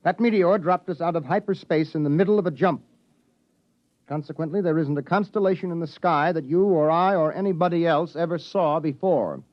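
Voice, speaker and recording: An American man playing a grave, measured, authoritative spaceship captain in 1950s radio drama style. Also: fairly high pitch, lightly acted. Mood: grave, urgent. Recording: muffled vintage 1950s radio recording.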